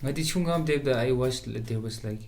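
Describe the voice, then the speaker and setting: A young man, a guest beatboxer, speaking quietly and hesitantly in a casual chat. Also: mid-range voice, fairly quick, slight background noise. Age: young adult.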